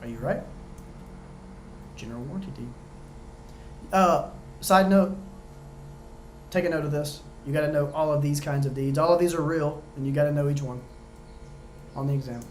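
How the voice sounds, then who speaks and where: Chatty guy running thru practice exam questions, slightly high voice, going hoarse, roomy echo, faint hum behind. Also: moderate pace, pauses often, a little mellow. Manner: chatty, talks straight to viewers, jokey asides. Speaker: exam prep tutor. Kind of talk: casual practice-exam walkthrough.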